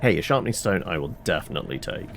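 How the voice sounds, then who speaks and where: A man's voice: low voice, big pitch swings, quick pace, creaky, noisy background. A man giving casual running commentary while playing a game, reacting aloud and reading out item notes. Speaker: gameplay commentator.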